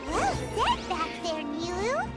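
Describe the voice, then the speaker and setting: A woman voicing an excitable, childlike young sidekick girl in a video game, exclamatory and cheerful. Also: very high voice, slow, exaggerated voice. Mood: bright, encouraging. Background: loud playful music.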